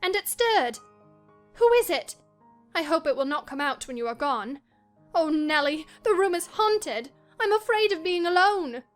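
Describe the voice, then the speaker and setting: A woman playing a feverish, delirious young gentlewoman in a dramatized classic novel reading, theatrical and shifting between dreamy murmurs and anxious outbursts. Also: extremely high pitch, animated, measured pace, faint music behind. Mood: frightened.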